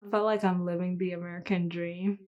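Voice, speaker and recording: A woman's voice: fairly low pitch, flat delivery, highs cut off. Young woman telling her story. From South Sudan. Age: 20s. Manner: reflective storytelling with many pauses. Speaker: interviewee. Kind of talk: documentary interview.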